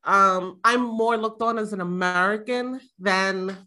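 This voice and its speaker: A woman's voice: medium pitch, steady tone, measured pace, pushed and bright. A middle-aged woman from Jamaica chatting casually as a panel guest, rambling with frequent fillers and laughs. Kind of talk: casual conversation.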